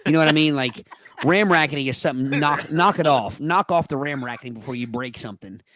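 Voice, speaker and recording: A man's voice: mid-range voice, fast, fairly bright tone. A man with a lingering cough, co-hosting a podcast in casual chat and rambling through childhood stories. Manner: chatty storyteller, rambling restarts. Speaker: podcast co-host.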